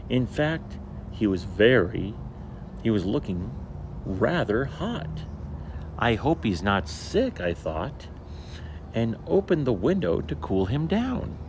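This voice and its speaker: A male storyteller reads a children's picture book with slow, deliberate phrasing. His pitch moves in lively, theatrical swings. Steady noise sits behind his voice. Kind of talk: expressive picture-book read-aloud for children.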